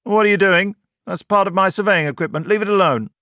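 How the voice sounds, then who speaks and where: A man's voice: high voice, quick pace, fairly bright tone, clear, resonant tone, no echo, clean studio sound, pitched above the performer's own voice. A man voicing an irritable, evasive professor, a villainous spy in a sci-fi audiobook, haughty and snappish. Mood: irritated.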